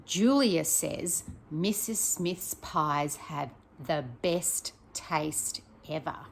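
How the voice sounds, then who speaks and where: A woman, a primary teacher reading a picture book aloud to young children, expressive and encouraging, with chatty questions to her listeners. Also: deep voice, drawn-out words, creaky, a little hiss or hum.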